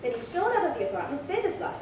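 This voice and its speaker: A woman's voice: huge pitch swings, racing, distant mic. A woman playing a chatty, proud, easily flustered elderly spinster who rambles as a witness in a 1950s-style radio crime drama. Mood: dramatic recounting. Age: older. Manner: rambling, flustered, indignant old-lady talk. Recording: echoey room from afar, noisy rumble.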